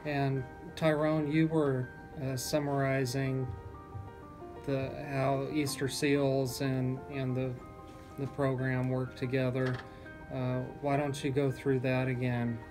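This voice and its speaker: A man's voice: medium pitch, flat delivery, slow pace, over music. A man hosting a radio interview in a conversational style, putting questions to his guests. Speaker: radio host. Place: small radio studio. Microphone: studio microphone with headset.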